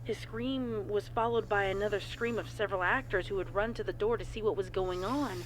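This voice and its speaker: Woman narrating over clear background noise. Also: medium pitch, fairly quick, rough recording. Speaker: audio story narrator. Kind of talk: scripted narration of a horror fan fiction report.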